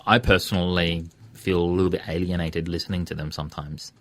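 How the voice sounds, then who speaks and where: A man's voice: low voice, level tone, brisk. An Australian man speaking casually as an interview guest, earnest and thinking aloud as he gives his views. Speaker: interview guest, community radio presenter and podcaster.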